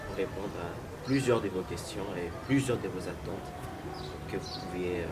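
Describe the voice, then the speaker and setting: A young man from DR Congo, a student, answering interview questions in a measured way with frequent fillers. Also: sits low. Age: young adult. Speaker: guest, student. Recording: loud noise, birds cooing.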